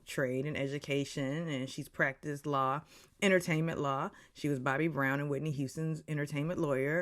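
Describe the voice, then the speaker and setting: A woman's voice: sits low, fairly bright tone. A woman in her late forties giving a gossipy, catty recap of a reality show episode, dropping into whispers for asides. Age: 40s.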